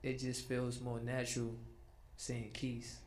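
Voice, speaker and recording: A man with a mid-pitched voice talks as a guest rapper on a chat show. His delivery is easygoing and relaxed, and he laughs easily. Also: slow. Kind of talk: casual interview chat.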